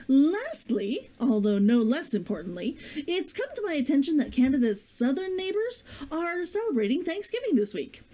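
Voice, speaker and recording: Expressive, high-pitched woman with mellow voice. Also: normal speed. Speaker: streamer, author reading own book. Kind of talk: chatty greeting, then storybook read-aloud.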